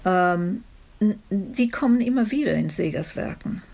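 A woman speaking spontaneously as a literary commentator, thoughtful and halting, often seeking agreement with tag questions. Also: mid-range voice, expressive, creaky, a little mellow.

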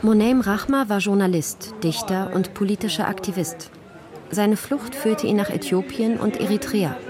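A woman's voice: medium pitch, normal speed, crowd noise. A woman reading scripted narration for a radio feature as its reporter.

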